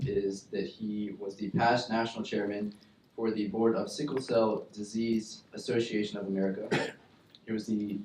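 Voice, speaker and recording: A man's voice: fairly low pitch, a little mellow, slight background noise. Hesitant man reading a bio in a hall. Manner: hesitant, full of um's. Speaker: student presenter reading an introduction. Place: lecture hall. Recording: echoey hall from a distance.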